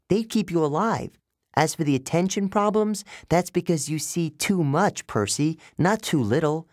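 A man voicing a smart, confident girl camper who explains things earnestly, in a higher voice than his own. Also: darkish tone, no room sound, studio quality.

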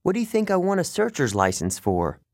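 A man voicing an earnest, idealistic young male satyr who sounds wistful and quick to take offense. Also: high voice, wildly swooping pitch, dry recording, studio quality, pitched above the performer's own voice, heavily acted. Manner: wistful, indignant about pollution.

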